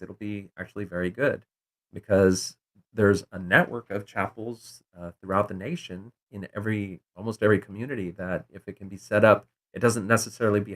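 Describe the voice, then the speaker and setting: A middle-aged man hosting a casual call-in show, rambling with many fillers and restarts. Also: deep voice.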